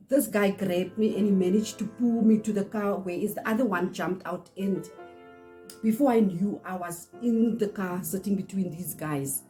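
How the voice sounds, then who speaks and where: A middle-aged woman from South Africa, Afrikaans-speaking, with a South African accent, telling a personal story in earnest, pausing often. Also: mid-range voice, mellow, rough recording. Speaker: channel host. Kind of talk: personal testimony to camera.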